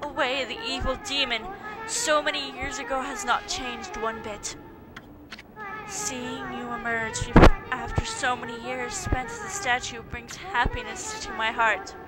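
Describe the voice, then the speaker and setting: A woman voicing a gentle female tree spirit from a video game, speaking in a formal, reverent and pleading way. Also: sits high, animated, measured pace, loud music behind the voice, poor audio. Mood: grateful. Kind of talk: video game cutscene voice-over, read aloud.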